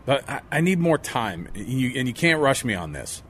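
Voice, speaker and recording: A man's voice: mid-range voice, sing-song, a bit bright, husky, heavily acted. An actor playing a proud, ashamed homeless man in a radio drama, speaking hesitantly. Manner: hesitant, halting. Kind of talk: scripted radio drama acting.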